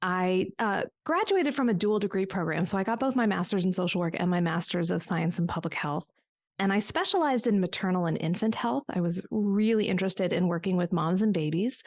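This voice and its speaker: Gravelly-voiced woman telling her story. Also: lowish voice, lively intonation, a little fast, darkish tone, no echo, clean studio sound. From United States. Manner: reflective storytelling with small restarts. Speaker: business coach guest. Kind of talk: conversational podcast interview.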